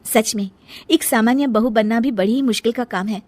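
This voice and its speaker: A woman's voice: on the high side, brisk, darkish tone, dry recording. A woman giving scripted narration for a cartoon moral story.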